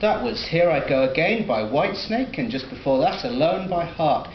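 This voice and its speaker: An actor with a Northern English accent reading a late-night radio DJ, a newsreader and a doctor's notes in a scripted audio drama. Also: male voice, a little high, expressive, normal speed, close to an everyday voice. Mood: upbeat. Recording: dull lo-fi roomy recording, faint hiss.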